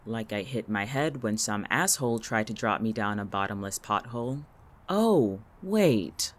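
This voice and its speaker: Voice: medium pitch, expressive, a little slow, natural voice. An actor in an audio drama playing an irritable, sardonic, coolly calculating town resident with a dry, biting wit. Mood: irritated, sarcastic. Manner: biting, sarcastic, dry-witted.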